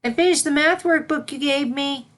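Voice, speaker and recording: A woman's voice: high voice, normal speed, a bit bright, voice pushed up. A woman voicing an eager, polite little unicorn filly in a storybook reading, with a childlike, inquisitive manner.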